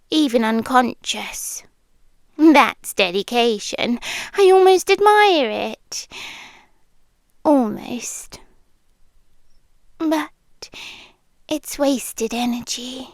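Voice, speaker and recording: Woman acting slow, high-voiced British yandere girlfriend. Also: no echo, studio quality, full character voice. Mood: mock concern. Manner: slow, deliberate, hushed, often slipping into whispers. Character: obsessive yandere girlfriend captor, softly menacing, possessive. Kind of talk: ASMR roleplay. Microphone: close microphone, dry.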